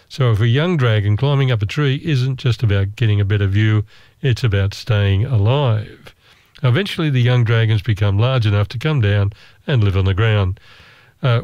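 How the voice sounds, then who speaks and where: A man's voice: on the low side, darkish tone, no room sound. Wry man telling a travel story. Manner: wry, self-mocking, measured. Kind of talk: casual storytelling.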